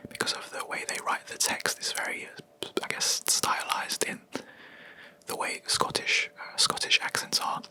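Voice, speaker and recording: A young adult video creator, whispering a rambling, hesitant gaming chat close to the mic in a quiet room. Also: normal speed. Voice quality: soft unvoiced whisper throughout. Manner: rambling, hesitant, with many ums and self-corrections.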